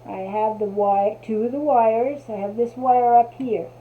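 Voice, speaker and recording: A woman's voice: on the high side, a little slow, slight background noise. A woman from New Jersey with a Jersey accent, casually demonstrating a craft and chatting to herself as she works. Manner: chatty, talks to herself while working. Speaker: craft tutorial host. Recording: tinny, phone-like audio.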